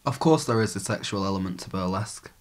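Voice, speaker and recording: A man's voice: low-pitched, animated, fast, over loud music. A man reading scripted narration as the narrator of a documentary.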